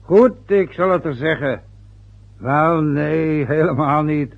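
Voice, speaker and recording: On a dull old radio recording, a pompous older father in a radio play. His voice is fairly high for a man, gravelly and husky. He talks formally, with gruff impatience. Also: slow. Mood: hearty, formal.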